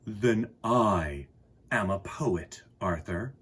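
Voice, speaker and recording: A man's voice: lowish voice, expressive, drawn-out words, a bit bright, breathy edge, heavily acted. A man voicing a sleepy, serene pet fish in a storybook, speaking in short solemn phrases.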